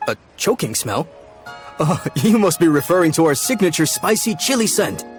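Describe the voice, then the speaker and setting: A hearty restaurant chef from a video game, jovial and eager to please. He talks in a fairly high, animated voice over music. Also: normal speed. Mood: welcoming. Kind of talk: scripted video game character acting. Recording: music behind the voice.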